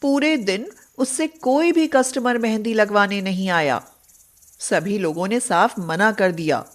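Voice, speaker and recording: A woman narrating a moral storybook tale in a measured, scripted way. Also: mid-range voice.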